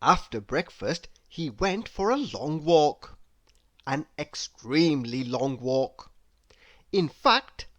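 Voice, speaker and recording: A woman reading a children's story aloud as an expressive narrator who speaks directly to young listeners. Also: sits low. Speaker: storybook reader.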